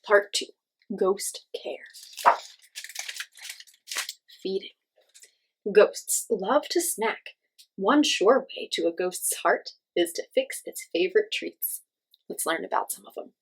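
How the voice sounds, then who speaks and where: A woman's voice: medium pitch, animated, slow pace, long pauses, a little hiss or hum, low-quality recording. A woman hosting a children's read-aloud, reading a picture book expressively and speaking directly to young listeners with simple questions and explanations.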